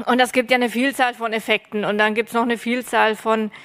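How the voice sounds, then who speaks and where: Woman, workshop participant on a panel, reflective, slightly high voice. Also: little pitch movement, fairly quick, fairly bright tone, smooth. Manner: reflective, searching for words. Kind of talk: panel discussion. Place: stage at a festival panel.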